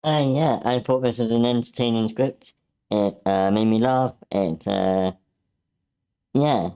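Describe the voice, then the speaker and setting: A man's voice: mid-range voice, takes it slowly, warm tone, clear, resonant tone. A man giving casual critique in an online meeting, tentative and thoughtful, hesitating as he goes. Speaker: script feedback participant.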